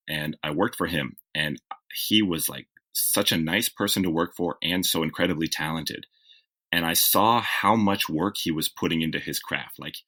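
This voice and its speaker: Man, very low voice, bright forceful tone, chatty storytelling, lots of "like" and "you know". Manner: reflective, self-correcting, with frequent "like" and "you know". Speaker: guest, TV writer. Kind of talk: conversational interview storytelling.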